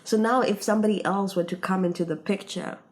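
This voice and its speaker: A woman's voice: medium pitch, steady tone, quick pace. A woman from Africa, a podcast guest, talking in an earnest, reflective way with lots of "like" and "you know". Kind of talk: casual conversation.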